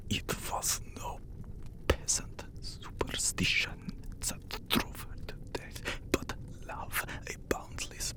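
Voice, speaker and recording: A man playing an immortal, brooding vampire lord in an ASMR audio drama, with a breathy whisper and solemn, formal phrasing. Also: close to an everyday voice, low-quality recording. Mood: wounded, reverent. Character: handsome immortal vampire count, brooding, menacing lord of castle.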